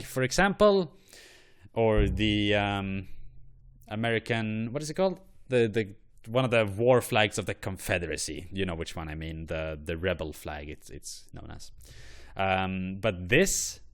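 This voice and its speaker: A man hosting an online show, giving blunt, profane and rambling opinions. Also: on the low side. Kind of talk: ranting commentary on flags.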